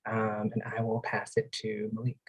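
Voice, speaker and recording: A man taking part in a reading, reflecting earnestly and informally in a husky voice. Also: on the low side, monotone, moderate pace, low-quality recording. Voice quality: husky, breathy. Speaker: reader, event participant.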